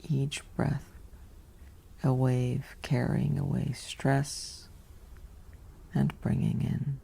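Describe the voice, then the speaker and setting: A woman guiding a sleep meditation in a soft, whispery, soothing voice with long pauses, like ASMR bedtime narration. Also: rumbling low, level tone, vocal fry, husky.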